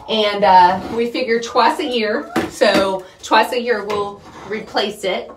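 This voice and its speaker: A middle-aged woman talks casually to her viewers as she works. Her delivery is folksy and chatty, with a medium pitch. The mic sits at a distance in a small, roomy-sounding wooden space, with clear noise behind her. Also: slow. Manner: folksy, chatty, rambling while working. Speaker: homestead vlogger and host. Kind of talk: casual chat to viewers during chores. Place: small wooden chicken coop. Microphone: phone set up a few feet away.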